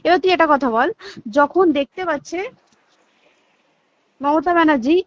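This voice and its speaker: A woman's voice: high voice, normal speed, no room sound. A young woman with a West Bengal Bengali accent talks casually but insistently on a recorded phone call, often asking emphatic rhetorical questions.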